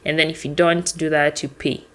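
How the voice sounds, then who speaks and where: Kenyan woman in her 20s, deep-set low voice, easygoing reflective podcast guest. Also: brisk. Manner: relaxed, reflective storytelling with restarts. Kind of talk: casual conversation.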